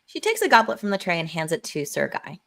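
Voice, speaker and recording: A woman's voice: lowish voice, huge pitch swings, fast, creaky, a bit bright. A woman reading stage directions as narrator in a live amateur table read, with a dramatic storytelling manner.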